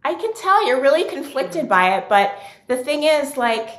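A woman guest on a video call, talking with wry, deadpan humor from a room at home. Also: high-pitched, moderate pace, roomy sound. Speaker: guest comedian. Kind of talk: casual chat.

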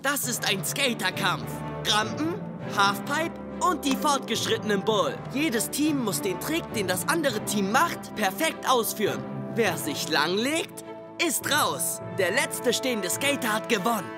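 Woman as bullying teen skater boss, mid-pitched, animated, sneering, cartoon, loud music underneath. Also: a little slow, lightly acted. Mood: bossy, taunting. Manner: sneering, taunting. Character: cocky, bullying teenage skater gang leader. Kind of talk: German cartoon dub.

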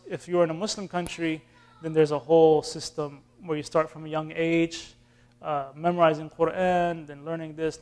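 A man in his thirties from Austin, Texas, an imam answering questions in a relaxed, classroom way with fillers and restarts, filmed by a far camera in a community center room. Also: on the high side. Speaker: imam teaching an intro class.

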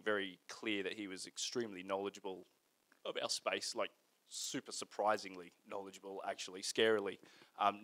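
A middle-aged man with a fairly low voice answers as a founder being interviewed on stage. He talks conversationally into a handheld mic, feeling his way through phrases with small qualifying asides. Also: bright, forceful tone. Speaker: guest, company founder. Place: indoor event stage in front of a screen. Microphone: handheld microphone.

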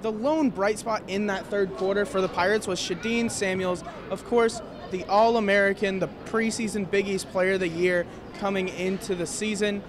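A man's voice: high voice, steady tone, moderate pace, hardly any pauses, crowd noise. A young man giving an earnest, detailed postgame basketball analysis courtside, speaking into a handheld mic. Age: young adult. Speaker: student sports analyst. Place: courtside in a large indoor arena.

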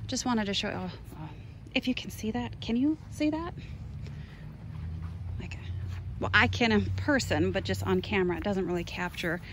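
A woman's voice: mid-range voice, normal speed, pauses often, fairly bright tone. A woman chatting casually and off the cuff as she gives a garden tour, wandering between exclamatory asides. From Minnesota, United States. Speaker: gardening vlogger. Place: outdoors in a garden. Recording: noisy outdoor handheld recording. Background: clear outdoor ambient noise.

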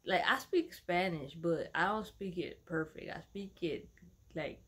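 A woman's voice: lowish voice, unhurried, breathy edge. A woman in her thirties from Dallas, Texas, chatting casually at home to her followers in a laid-back, slangy, rambling way. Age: 30s. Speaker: vlogger. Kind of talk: casual vlog chat to followers.

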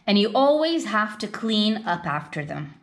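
A woman reading a children's picture book aloud in an expressive storytelling style. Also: medium pitch, a little slow. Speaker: storybook reader.

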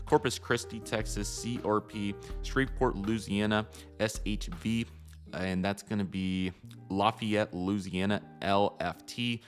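An American man hosting a hobby channel, giving an upbeat, conversational voice-over in a casual vlog style. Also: deep voice. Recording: music behind the voice.

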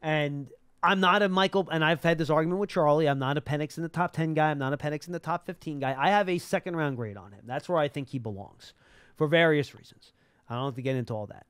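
Male talk-show host for sports, quick and emphatic, casual analysis, very dry studio sound on a close mic. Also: on the high side, a bit bright, smooth, dry recording. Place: small podcast studio. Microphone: close broadcast mic on boom arm.

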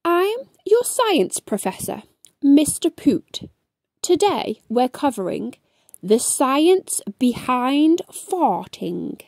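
A woman reading the part of a cheery old male science professor in a children's storybook, animated and teacherly. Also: high-pitched, slow pace, creaky, no echo, voice pushed down, exaggerated voice. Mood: cheery, lively. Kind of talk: children's storybook read aloud.

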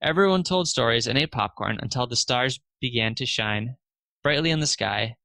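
A man's voice: mid-range voice, lively intonation, moderate pace, gravelly, bright, forceful tone, no echo. A man narrating a children's storybook aloud, expressively lifting his voice for the dialogue.

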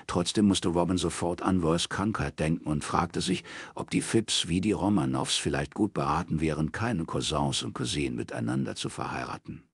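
Male audiobook narrator, raspy with vocal fry, measured literary read, dry studio sound. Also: sits low, dry recording.

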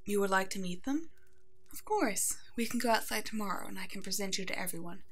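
Woman doing a motherly werewolf alpha for asmr roleplay, soft n gentle, half whispery, protective, medium pitch. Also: expressive, a little fast. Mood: caring, reassuring. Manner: gentle, nurturing, soft-spoken, often slipping into whispers. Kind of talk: ASMR roleplay acting, tender and soothing.

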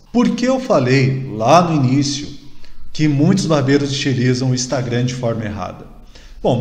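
Brazilian man, thirties, fairly high voice, conversational and emphatic teaching delivery, echoey room. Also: darkish tone. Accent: Brazilian Portuguese. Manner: conversational, emphatic, uses rhetorical questions and repetition. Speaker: marketing speaker for barbers. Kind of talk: informal instructional talk to camera. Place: small home room, indoors. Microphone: desk microphone near webcam. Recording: roomy echo.

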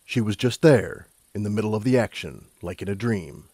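Guy reading an audiobook, lowish voice, measured dramatic storytelling, dry close sound. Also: expressive, a little mellow, husky, no room sound. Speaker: audiobook narrator. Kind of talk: scripted story narration.

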